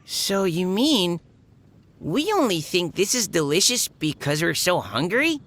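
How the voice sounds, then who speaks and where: An actress playing a curious, expressive young woman in a video game cutscene, earnest and questioning. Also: lowish voice, measured pace, exaggerated voice. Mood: curious disbelief.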